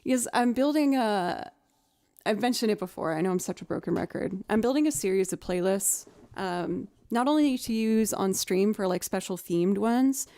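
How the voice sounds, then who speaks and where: A young woman with a naturally low voice, chatting casually with her livestream audience and thinking aloud as she goes. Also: dark tone, no room sound, clean studio sound. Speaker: streamer and musician.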